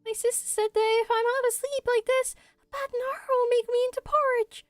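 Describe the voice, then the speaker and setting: A woman's voice: very high-pitched, steady tone, a little fast, no room sound, faint music behind, voice pushed up. A woman voicing a timid, dreamy little forest spirit for a video game, hesitant and rambling in a wide-eyed way. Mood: frightened, pleading.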